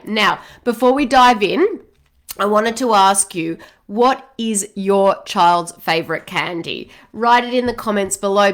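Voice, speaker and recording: An Australian woman in her thirties talks straight to a webcam from a small home office. She is chatty and upbeat, dropping in fillers as she goes, and runs through long lists at a brisk, friendly clip. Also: a little high, a bit bright. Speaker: host of a weekly live Q&A for parents. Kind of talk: casual livestream advice talk. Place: home office room, indoors.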